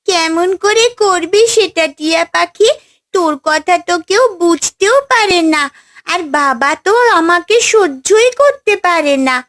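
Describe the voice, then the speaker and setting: A woman's voice: very high voice, lively intonation, measured pace, a bit bright, heavily acted. A woman voicing a lonely, girlish young princess in a fairy-tale cartoon, in a plaintive manner. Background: background music.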